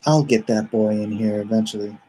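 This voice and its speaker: A man's voice: lowish voice, steady tone, fairly quick, dark tone, slight background noise, low-quality recording. A man hosting a livestream, chatting casually and reading viewer comments aloud in a rambling, joking way. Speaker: comic artist hosting a livestream.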